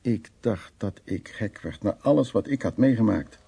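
A man's voice: fairly low pitch, lively intonation, moderate pace, creaky, mellow, breathy edge, exaggerated voice. An actor playing a troubled, wry and anxious man in a radio drama, musing aloud in hesitant, broken phrases. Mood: brooding recollection. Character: troubled man named Louis, recounting past, anxious, wry. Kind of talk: radio drama acting, intimate storytelling.

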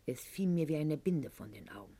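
An actress playing a proud, wounded middle-aged aristocratic lady in a radio play monologue, articulate and ironic, with weighted pauses. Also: deep voice, lively intonation, mellow, slightly rough. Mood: wounded, confessional.

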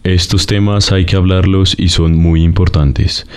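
A man's voice: low voice, steady tone, measured pace, clear, resonant tone. A man playing a suave, self-important, mischievous radio host with a Colombian accent, in mock presenting style. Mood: suave, teasing.

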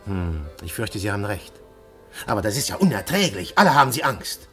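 Animated, earnest detective hero. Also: male voice, on the low side, a little fast, soft background music. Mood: grave, resolute. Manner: dramatic, emphatic, formally courteous. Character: earnest, defiant undercover police detective, courteous and brave. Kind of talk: German radio play acting.

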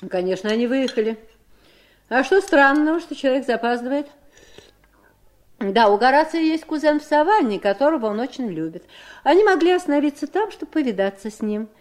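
A woman's voice: sits high, animated, a little fast. An actress playing a proud, shrewd, commanding middle-aged lady with an ironic manner in a 1970s Soviet radio drama. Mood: defensive, haughty. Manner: imperious, ironic.

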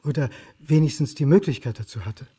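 A man's voice: mid-range voice, a little fast, dark tone, slightly rough. An actor playing a brooding, indignant historian in a radio crime drama, terse and insistent as he presses for answers.

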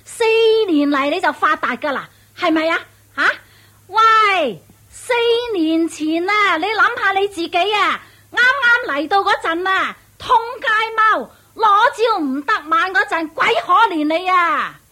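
A woman's voice: very high-pitched, animated, bright, forceful tone, exaggerated voice. A woman playing a shrewish, domineering and vengeful witch in a radio drama, nagging and taunting in Hong Kong Cantonese. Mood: scolding. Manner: nagging, taunting, drawn-out emphatic delivery. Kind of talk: theatrical radio drama acting.

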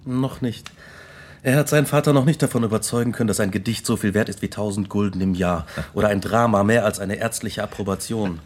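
Low-voiced earnest young man played by an actor. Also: a little fast, a little mellow, close to an everyday voice. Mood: earnest, affectionate. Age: young adult. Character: ambitious, earnest young student leaving his family. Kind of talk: radio drama acting, with first-person narration.

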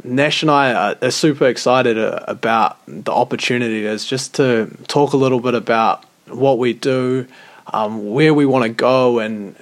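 A man's voice: mid-range voice, normal speed. Young man co-hosting a casual podcast. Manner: relaxed, reflective, repeats words. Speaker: podcast co-host.

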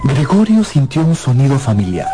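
A man's voice: medium pitch, very animated, normal speed, over loud music. A man narrating a radio drama from a script, with dramatic storytelling delivery.

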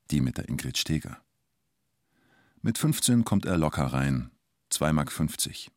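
A man's voice: extremely low pitch, no room sound, clean studio sound. A man narrating a scripted documentary radio drama in terse, short phrases. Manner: terse present-tense storytelling in short clipped phrases.

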